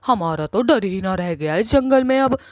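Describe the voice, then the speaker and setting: A woman's voice: medium pitch, animated, normal speed, no room sound, lightly acted. Woman doing a bossy cartoon witch, angry and scolding, threats galore, really dry close sound. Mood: indignant. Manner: scolding, emphatic, repeats words for menace. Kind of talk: Hindi cartoon horror-comedy acting.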